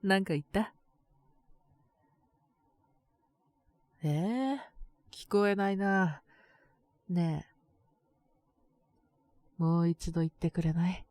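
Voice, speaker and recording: Woman acting a low-voiced, slow, boyish teasing girlfriend. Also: no echo. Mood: teasing, intimate. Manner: teasing, soft, intimate, affectionate. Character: boyish, slightly mean, doting teenage girlfriend. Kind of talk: Japanese romantic situation voice acting.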